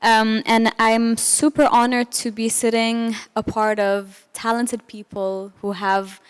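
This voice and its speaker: A woman's voice: fairly high pitch, level tone, slow, smooth, big-room sound. A woman in her twenties, raised in Belgium, moderating a panel talk in an upbeat, polite way. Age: 20s. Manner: upbeat and polite, introduces speakers. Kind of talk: panel discussion hosting.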